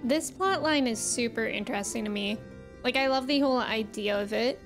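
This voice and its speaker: A woman's voice: a little high, normal speed, soft background music. A woman hosting a game livestream, chatting casually and reacting playfully in an informal way. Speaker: livestream host playing a video game.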